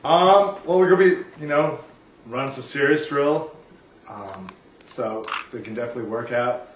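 Halting man fielding press questions. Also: mid-range voice, far from the mic, a little hiss or hum. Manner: halting, hesitant, full of ums. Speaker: head coach at a mock press conference. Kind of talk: comic press conference skit. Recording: echoey room, heard from afar.

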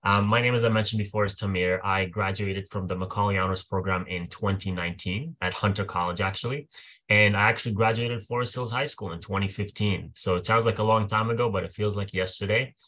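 A man's voice: on the low side, fairly even intonation, a little fast, a bit bright, muffled recording. A man in his twenties, from Israel, presenting a webinar in a friendly, loose way with many fillers over a webcam mic. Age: 20s. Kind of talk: casual presentation. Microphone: webcam mic on video call.

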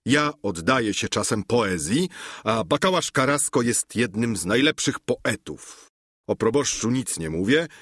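A man's voice: medium pitch, fairly bright tone, slightly rough, dry recording, clean studio sound, heavily acted. A man voicing a lofty, idealistic knight who speaks in grand, flowery phrases. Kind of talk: audiobook character reading.

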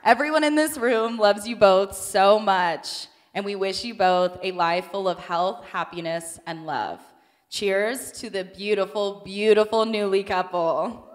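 A woman's voice: mid-range voice, unhurried, big-room sound. A young woman giving a wedding toast as the bride's younger sister, affectionate and laughing between lines.

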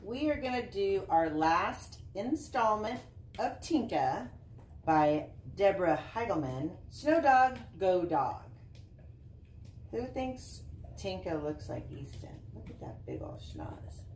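A woman reads a children's picture book at an unhurried, slow pace. Her delivery is expressive and singsong, with chatty asides to young listeners. The sound is roomy and somewhat distant, as from a camera in a living room. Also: medium pitch. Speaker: volunteer reading aloud to children. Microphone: camera a short distance away.

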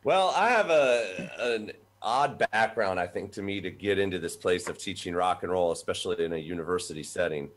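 A man in his forties, a voice professor from the United States, telling his background in a relaxed, rambling way over a video call from a small office. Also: deep voice, brisk. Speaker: guest, voice professor. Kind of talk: conversational storytelling. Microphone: webcam on video call.

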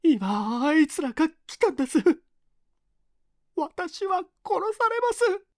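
A man voicing a desperate, terrified captured gangster with rough speech in a story reading. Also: very high voice, big pitch swings, takes it slowly, no room sound, clean studio sound, pitched above the performer's own voice, heavily acted. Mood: terrified pleading. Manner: rough slangy speech, screaming and muttering.

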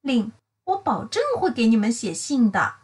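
An eager young meerkat played by a woman writes home in a storybook. The voice is high and swings freely in pitch, with cheerful, expressive phrasing. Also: normal speed. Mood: earnest, reassuring. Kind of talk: children's picture-book letter reading.

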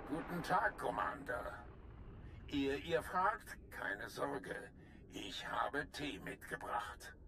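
Jaunty general, gravelly and animated. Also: male voice, medium pitch, slow, background noise. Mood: playful. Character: jovial, dapper male Republic general with dry wit. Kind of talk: dramatic game voice acting.

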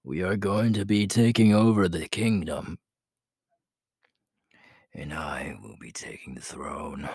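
A man playing a ruthless, menacing young werewolf alpha in an ASMR audio roleplay, gravelly and breathy, slow and boastful, often dropping to a whisper. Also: deep voice, darkish tone, no echo, clean studio sound, heavily acted. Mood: menacing, ambitious.

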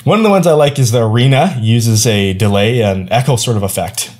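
A man's voice: medium pitch, fairly quick, very noisy. Playful young man presenting a tutorial. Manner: playful, animated, slangy asides. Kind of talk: casual screencast tutorial narration. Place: small indoor room at home.

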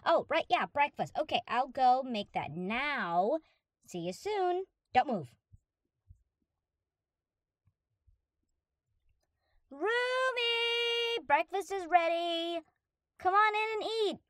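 A woman's voice: very high voice, very animated, slow pace, a bit bright, no room sound, studio quality, heavily acted. A woman playing a hyperactive, affectionate young dog-girl roommate in a roleplay audio drama, gushing and rambling eagerly to the listener.

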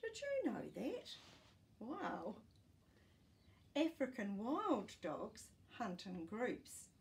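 A woman in her sixties reading a children's picture book aloud in an expressive, teacherly way, as if to young listeners. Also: fairly high pitch, drawn-out words. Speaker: children's storytime reader. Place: small furnished living room, indoors.

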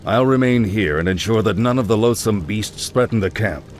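Low-voiced, stern old paladin played by a man. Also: a little hiss or hum, lightly acted. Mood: grave, fatherly. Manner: measured, grave, commanding.

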